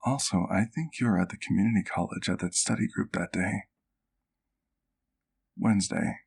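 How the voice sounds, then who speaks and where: A man playing a gentle, protective vampire doctor in an ASMR roleplay, speaking in a soft, measured, careful way. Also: sits low, gravelly, slightly rough, heavily acted. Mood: concerned, troubled.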